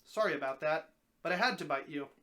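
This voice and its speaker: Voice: medium pitch, a little fast, a bit bright, voice pushed down, close to an everyday voice. A reader voicing a talking snake from a story, helpful and wry, explaining things in a matter-of-fact way. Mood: apologetic, explaining. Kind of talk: storybook dramatic reading.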